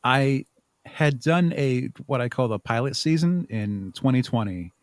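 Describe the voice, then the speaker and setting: A man's voice: mid-range voice, animated, dry recording. Casual, thoughtful man with a dry, close sound. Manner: thoughtful, halting, chuckles while talking. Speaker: podcast guest, audio drama creator. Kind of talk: casual interview conversation.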